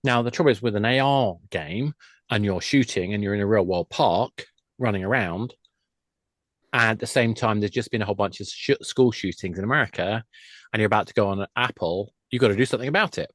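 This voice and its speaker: Low-voiced middle-aged man, chatty. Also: fairly quick, fairly bright tone, no echo. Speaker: podcast guest, games industry expert. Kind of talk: conversational interview talk.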